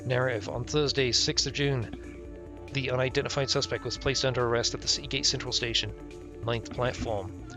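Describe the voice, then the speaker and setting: A man's voice: mid-range voice, steady tone, brisk. Man reading game text aloud over music. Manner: irreverent, ad-libs jokes and mutters reactions. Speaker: streamer playing a visual novel. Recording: music playing behind the voice.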